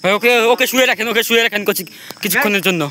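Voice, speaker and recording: A man reacting in fear outdoors at night, with rapid, repeated anxious exclamations. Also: high voice, crowd noise. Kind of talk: frantic live commentary.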